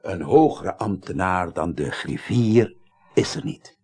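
A fatherly parliament chairman in an eighties radio play. His husky voice swings expressively as he persuades in a courtly, formal way. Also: sits low, animated, slow, a little mellow, full character voice. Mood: paternal, persuasive. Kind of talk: 1980s Dutch radio drama acting.

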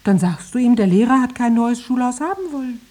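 A woman's voice: fairly high pitch, lively intonation, fairly quick, warm tone. A woman playing a greedy, nagging farmer's wife in a 1950s radio play, urging and coaxing in a conspiratorial way. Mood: coaxing, impatient.

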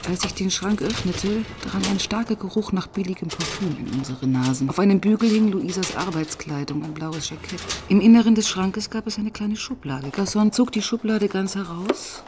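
An actress playing a composed, probing police detective who narrates and talks through the case in a measured, matter-of-fact way in a crime radio play. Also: female voice, medium pitch, noisy background, lightly acted. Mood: measured narration. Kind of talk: German crime radio play, dialogue and first-person narration.

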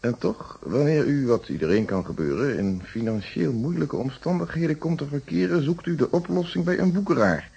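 An actor playing a courteous, methodical police inspector in a Dutch radio play, formal and probing in manner. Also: male voice, on the high side, animated, moderate pace, gravelly, hardly any pauses, mellow, breathy edge, lo-fi sound, exaggerated voice. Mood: courteous, reflective.

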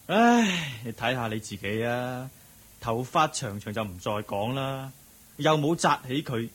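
A male actor playing an excitable, teasing companion who is game for mischief, in a Hong Kong Cantonese radio drama, with a husky voice. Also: mid-range voice, measured pace. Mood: amused, playful. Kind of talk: Buddhist radio drama acting.

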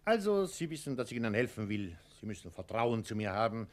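A man's voice: on the low side, very animated, brisk, slight background noise. A man playing an officious department chief in a radio play, formal and unctuous, servile to superiors and patronizing to those below. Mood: placating. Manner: formal, unctuous, self-righteous. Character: officious male bureaucrat chief, servile upward, patronizing downward.

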